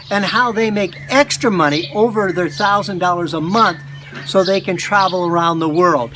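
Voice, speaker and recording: A man in his later years hosting a casual travel interview, chatty and relaxed, with frequent affirmations. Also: high-pitched, a little hiss or hum. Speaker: host.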